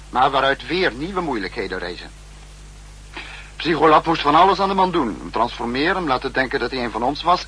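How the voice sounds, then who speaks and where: A stern, lecturing spy chief. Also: male voice, mid-range voice, sharp tone. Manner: formal, lecturing. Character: stern, analytical spy-state leader reproaching his subordinate. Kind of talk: 1960s radio drama acting. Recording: noisy, humming old radio recording.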